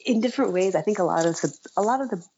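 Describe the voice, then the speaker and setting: A woman speaking as an interview guest, hesitant and halting as she thinks aloud in casual conversation. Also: medium pitch, expressive, warm tone, dull audio, a little hiss or hum. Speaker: interview guest, writer and author.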